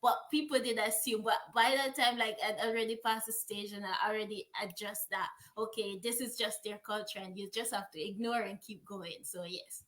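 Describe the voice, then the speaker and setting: A woman's voice: mid-range voice, fairly even intonation, moderate pace, few pauses, a bit bright. A young woman with a Jamaican accent, a guest chatting casually in an interview and filling her talk with "like". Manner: chatty, many "like" fillers, laughs while telling stories. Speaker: guest, author.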